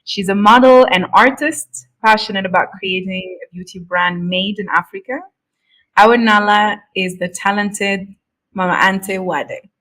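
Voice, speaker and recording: A woman hosts a podcast with an upbeat, eager manner. Her voice sits in a mid range, and she moves easily from a scripted welcome into relaxed conversation. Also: mid-range voice, unhurried, low-quality recording. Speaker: podcast host. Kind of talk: scripted intro, then casual chat.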